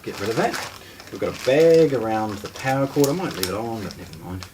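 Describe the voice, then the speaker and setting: A man's voice: on the low side, lively intonation, fairly quick, background noise. A man with a New Zealand accent presenting a casual product unboxing, explaining things informally step by step as he handles them.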